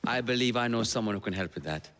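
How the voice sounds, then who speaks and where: Polite Victorian gentleman, reverberant. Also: fairly low pitch, expressive, fast. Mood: courteous. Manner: polite, formal. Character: polite, well-mannered Victorian gentleman ally. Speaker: game character actor. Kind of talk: video game cutscene acting.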